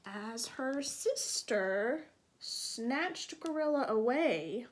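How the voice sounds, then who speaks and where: A woman teacher reading a picture book to young children, explaining patiently and pausing to ask them questions. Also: on the high side, expressive, slight background noise. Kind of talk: children's read-aloud with questions to listeners.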